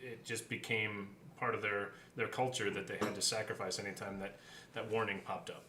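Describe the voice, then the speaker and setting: A man with a bright, forceful voice talks through things casually as a co-host. The sound is roomy and slightly distant from the microphone. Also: lowish voice, flat delivery, normal speed. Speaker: co-host and player. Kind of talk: casual discussion. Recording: roomy, distant mic with faint noise.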